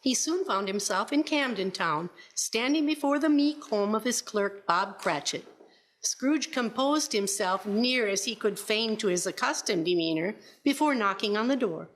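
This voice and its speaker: A woman's voice: medium pitch, lively intonation, normal speed, a little hiss or hum. A woman narrator reading scripted storybook narration for a radio play.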